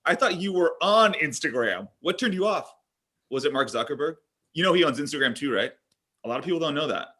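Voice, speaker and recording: A man playing a brash, pushy, crass tech executive in his thirties, pitching like an overblown salesman in a comedy table read. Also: expressive, fairly quick, bright, forceful tone. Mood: slick pitching. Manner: overblown salesman patter, dramatic exclamations.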